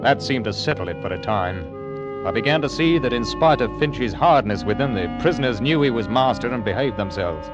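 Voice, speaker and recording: An actor playing a stern, humane ship's captain who speaks in a firm, measured way in an old radio drama. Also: male voice, mid-range voice, lively intonation, music in the background, lightly acted. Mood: grave recounting. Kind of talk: old-time radio drama narration and dialogue. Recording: dull lo-fi old radio recording.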